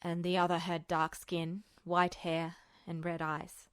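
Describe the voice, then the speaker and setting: A woman's voice: on the low side, monotone, unhurried, a bit bright, clear, resonant tone, no room sound, studio quality, pitched below the performer's own voice. A woman voicing a guarded, haunted young man with subdued delivery in acted audiobook dialogue. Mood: cold, withdrawn.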